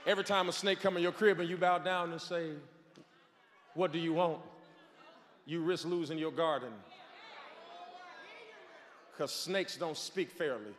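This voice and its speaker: A man in his forties, a pastor, preaching a sermon on a large church stage with a handheld microphone, shouting and calling on the crowd to respond. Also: fairly high pitch, steady tone, measured pace, pauses often, a little hiss or hum. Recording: long hall reverb.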